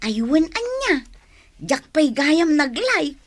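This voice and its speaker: A woman's voice: sits high, very animated, drawn-out words, dry recording, full character voice. An actress playing a chatty, eager-to-please woman friend in a radio comedy drama.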